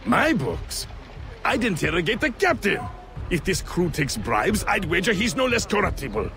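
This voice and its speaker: An actor playing an indignant, haughty colonial gentleman in a video game, speaking pompously. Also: sits high, very animated, moderate pace, creaky, exaggerated voice. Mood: affronted. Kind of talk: video game cutscene acting. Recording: music behind the voice.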